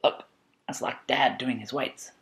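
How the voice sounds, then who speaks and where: A man reading a children's picture book aloud in an expressive, playful way, with chatty asides to a child. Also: mid-range voice, a little slow. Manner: expressive, playful, dramatic storybook emphasis. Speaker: parent reading a bedtime story to a child.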